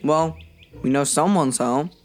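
A man's voice: on the high side, unhurried, soft background music, close to an everyday voice. An actor playing a dry-witted, unruffled young male companion in a children's audio drama. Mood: dry, amused.

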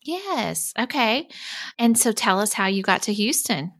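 A woman's voice: mid-range voice, very animated, a little slow, a bit bright, no room sound. A woman hosting a podcast as an executive coach, talking in an enthusiastic, upbeat way. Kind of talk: podcast interview.

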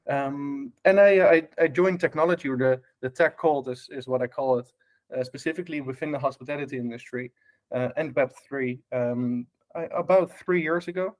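A man's voice: medium pitch, moderate pace. A man from the Netherlands with a Dutch accent, speaking as a podcast guest about hotel technology, full of fillers and restarts and ending points with "right?". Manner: many fillers and restarts, tag questions. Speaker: guest, hospitality tech lead. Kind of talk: casual podcast interview.

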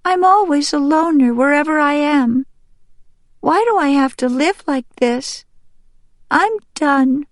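A woman's voice: high voice, slow pace, no echo, clean studio sound, voice pushed up. A woman voicing a plaintive young male wild duck who pleads earnestly like a child in an audiobook. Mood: lonely, upset. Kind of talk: audiobook character voice.

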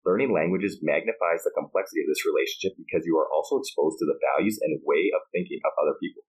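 Deep-voiced upbeat man host. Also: fast talker, a little mellow, low-quality recording. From United States. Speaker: podcast host. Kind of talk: interview chat, then scripted reading.

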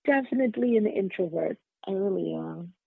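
Podcast guest: Trinidadian woman, creaky voice, conversational storyteller, thin dry phone-quality audio. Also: medium pitch, expressive, a little fast, no room sound. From Trinidad and Tobago. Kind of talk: conversational interview answers, personal storytelling. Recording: narrow phone-like sound, dry.

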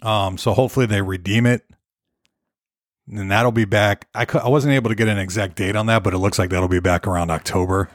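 A hoarse man hosting his own podcast, chatting loosely and rambling from topic to topic. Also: fairly low pitch, fairly even intonation, a little fast, no room sound, clean studio sound. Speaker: podcast host. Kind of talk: casual solo podcast rambling. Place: Nashville.

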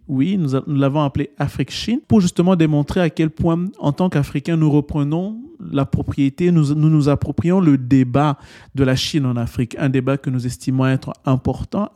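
A man's voice: a little high, warm tone. Earnest Congolese-born man hosting a podcast. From Democratic Republic of the Congo. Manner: earnest, explanatory, with frequent restarts and rhetorical questions. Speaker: podcast host and French-language editor. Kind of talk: solo podcast introduction.

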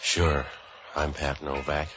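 An American actor playing a cynical, street-wise boat-for-hire man in a hard-boiled, deadpan 1940s radio noir style. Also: very deep voice, takes it slowly, noisy background. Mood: cynical, dry. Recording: dull vintage radio recording, faint music.

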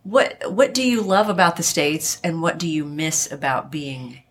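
A woman who hosts a university podcast, reading a scripted intro and interviewing a guest in a measured, friendly way. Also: low-pitched, husky.

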